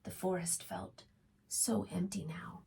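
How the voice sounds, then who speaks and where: A woman reading aloud to young children as a preschool storytime host, expressive and often putting questions to her listeners. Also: on the low side, takes it slowly, gravelly, whispered.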